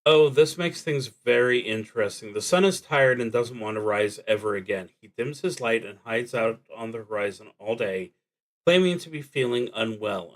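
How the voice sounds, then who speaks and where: A man streaming a solo tabletop roleplaying game, narrating and thinking aloud in a halting, deliberate way with long pauses. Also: mid-range voice, rough recording.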